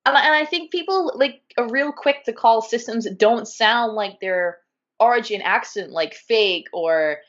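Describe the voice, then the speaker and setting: A woman with a Californian accent chatting in an animated, casual way, full of fillers like "um" and "like," over a video call. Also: fairly high pitch, unhurried. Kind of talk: casual conversation. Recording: muffled video call audio.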